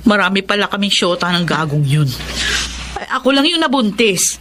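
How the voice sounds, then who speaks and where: A woman's voice: mid-range voice, very animated, moderate pace, fairly bright tone, slight background noise. A Filipino actress playing a callous, coarse, abusive mother in a radio drama, brash and goading. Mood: resigned, flippant.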